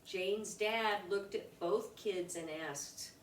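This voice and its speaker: A woman reading a rhyming children's picture book aloud in an expressive, deliberate way for young listeners. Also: medium pitch. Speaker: storybook reader. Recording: roomy, distant mic with background noise.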